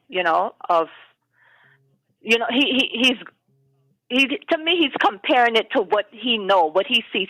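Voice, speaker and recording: A woman's voice: mid-range voice, sharp tone, no room sound, rough recording. A woman calling into a talk show over the phone, giving her opinion in an emphatic, conversational way and repeating her key points. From United States. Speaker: caller. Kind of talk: call-in opinion.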